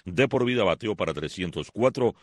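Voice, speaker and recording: Brief: deep, dry-miked sportscaster, emphatic radio delivery. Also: male voice, animated, brisk, breathy edge, dry recording. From Cuba. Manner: emphatic, declaiming announcer delivery. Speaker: sports presenter.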